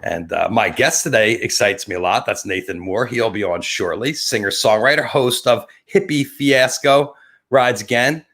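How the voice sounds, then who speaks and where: A man with a New Jersey accent hosting a live webcast, improvising a rambling, tongue-in-cheek intro in an animated, scattered, stop-and-start way. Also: lowish voice, normal speed.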